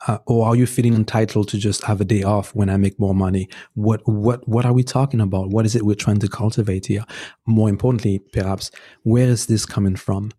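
A man with a French accent, whose first language is French, earnestly giving relationship advice as a guest in a conversational interview. He hesitates often, restarting phrases and filling pauses with "um" as he works through his points. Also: fairly low pitch, steady tone, mellow. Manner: earnest and advising, with frequent fillers and restarts. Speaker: podcast guest.

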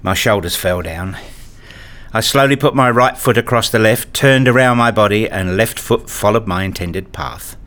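A man narrating a first-person radio story in a measured, reflective way, also voicing the father's lines. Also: on the low side, fairly bright tone. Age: middle-aged. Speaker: narrator and lead actor playing a father.

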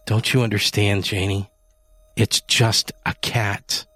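A man voicing a calm, controlling husband who speaks in a measured, quiet tone. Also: lowish voice, husky, dry recording, heavily acted. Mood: cold calm.

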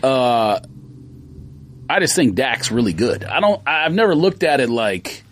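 Lively man in casual sports banter. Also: mid-range voice, measured pace. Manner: hesitant, trails off, laughs easily. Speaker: podcast co-host.

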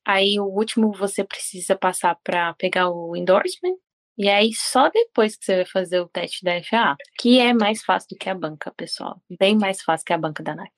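A woman, a flight instructor, chatting casually on a podcast and telling her stories with lively quoted dialogue. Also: mid-range voice, moderate pace. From Brazil. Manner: storytelling, lively quoting.

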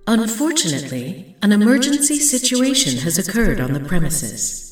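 A woman voicing a formal female announcer making an emergency notice in a measured tone. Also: mid-range voice, sing-song, faint music behind, natural voice. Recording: echoey voice from far off.

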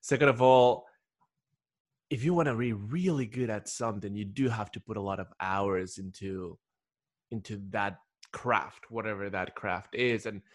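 A man's voice: fairly low pitch, no echo. Man telling personal stories in a dry-sounding room. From Ecuador. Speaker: podcast guest, doctor. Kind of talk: casual conversational storytelling.

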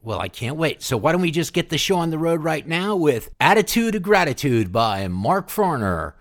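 A man's voice: on the high side, big pitch swings, moderate pace, a bit bright. A man hosting a music show, presenting in a casual, conversational style. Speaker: radio show host.

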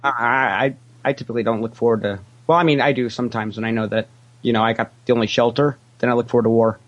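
A man's voice: mid-range voice, steady tone, quick pace, muffled recording, close to an everyday voice. A man playing a cheerfully shameless, greedy Ferengi alien money investigator, talking in a hesitant, rambling, matter-of-fact way in an improvised interview. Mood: shamelessly mercenary. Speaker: interview guest. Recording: internet call connection.

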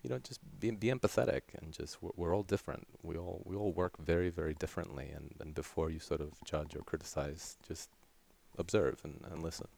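A man's voice: low-pitched, steady tone, brisk, vocal fry, darkish tone. A man with a Catalan accent, whose first language is Catalan, speaking as a guest scientist in a casual interview, hesitant and often repeating words as he restarts. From Catalonia, Spain.

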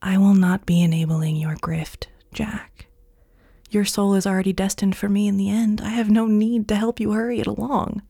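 The devil as a cool, measured male stranger, voiced by a woman storyteller. He is cold and unhurried, with a quiet menace. It is a dry, close storybook reading. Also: fairly low pitch, expressive, no room sound.

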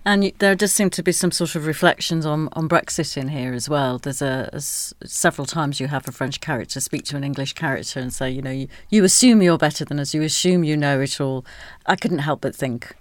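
Deep-voiced woman radio host. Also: dry recording. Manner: measured, clear presenter delivery. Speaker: arts programme host. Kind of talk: radio interview and introductions.

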